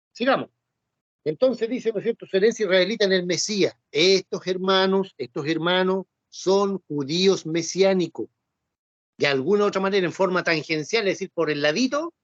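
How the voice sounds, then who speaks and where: A man's voice: high-pitched, dry recording. Man, Bible study teacher, rhetorical questions, dull lo-fi sound. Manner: conversational, repeats himself and asks rhetorical questions. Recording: dull, dry lo-fi recording.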